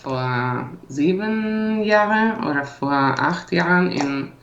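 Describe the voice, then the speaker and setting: A woman's voice: fairly low pitch, expressive, slow, slight background noise. A woman speaking as a non-native learner with a foreign accent, chatting casually and searching for words. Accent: non-native, foreign-accented German. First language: not German; learned it as a foreign language. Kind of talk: casual language-practice chat. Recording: echoey room, muffled audio.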